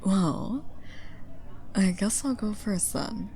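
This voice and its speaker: A woman's voice: mid-range voice, lively intonation, warm tone. A woman playing a flirty, charming stranger at a party in an intimate ASMR romance roleplay, with a teasing, coaxing delivery. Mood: flirty, inviting. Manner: teasing, coaxing, unhurried, often drops to a whisper.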